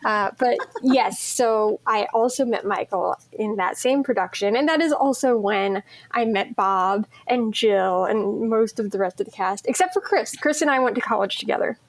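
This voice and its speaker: A woman's voice: medium pitch, normal speed, hardly any pauses. A woman chatting casually in a group interview, giggly and talkative. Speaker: voice actor, interviewed cast member.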